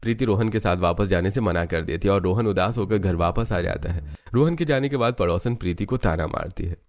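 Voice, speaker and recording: A man's voice: sits low, a little fast, a little mellow. A man narrating a scripted family story as a storyteller.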